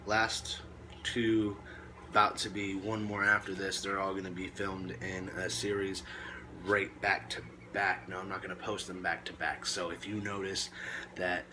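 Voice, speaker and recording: A man presenting reptile care tips in a casual, laid-back way with short emphatic phrases, now and then coughing or clearing his throat. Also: low voice, noisy background. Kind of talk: casual informal talk to camera.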